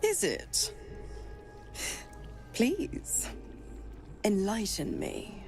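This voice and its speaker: A woman's voice: medium pitch, wildly swooping pitch, very slow, a little mellow, soft background music, exaggerated voice. An actress voicing a scornful, seductive female devil who taunts her bound servant in a game. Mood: mocking. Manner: mocking, taunting.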